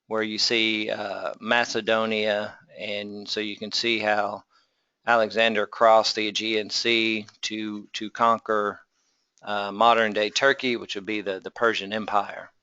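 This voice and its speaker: A man's voice: lowish voice, flat delivery, a little slow, pushed and bright, clear, resonant tone, dry recording, dull audio. A man teaching a class in an informal, conversational lecture style, explaining things and posing rhetorical questions. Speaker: teacher of a Bible survey class.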